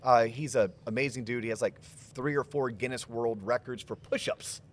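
A man's voice: mid-range voice, steady tone. A man hosting a podcast interview at a conference, joking and hyped in casual talk. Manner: hyped, joking, fast-talking. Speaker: podcast host. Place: conference venue.

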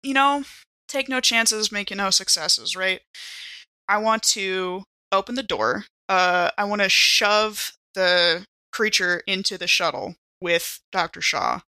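A woman's voice: medium pitch, slow pace, bright, forceful tone, no echo. A woman chatting casually as a player in a tabletop role-playing game, thinking aloud in an informal way.

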